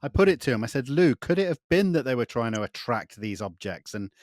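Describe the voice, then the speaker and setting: A man's voice: medium pitch, big pitch swings, fairly quick, a little mellow, no room sound, clean studio sound. A man hosting an interview in a conversational, agreeable way, affirming what the guest says. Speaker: interview host.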